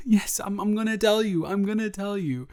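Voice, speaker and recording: A man's voice: sits high, moderate pace, darkish tone, close to an everyday voice. A man improvising an audio roleplay as a flustered, evasive roommate who talks straight to the listener, stammering and joking as he rambles. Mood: nervous, stalling. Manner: rambling, stammering, self-interrupting, playful.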